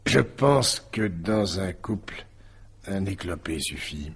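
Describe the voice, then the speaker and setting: An actor playing a bitter, wounded man turning coldly sardonic in a radio mystery drama, with halting, repetitive phrasing and a raspy, breathy voice. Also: low voice, expressive, full character voice. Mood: sardonic, cruel. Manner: halting, sardonic, repeats words.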